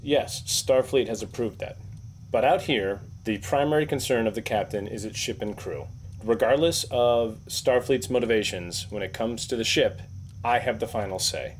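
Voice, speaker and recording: A man playing a courteous, cautious starship captain with firm authority and a measured, formal manner in a tabletop roleplay. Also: mid-range voice, slight background noise, close to an everyday voice.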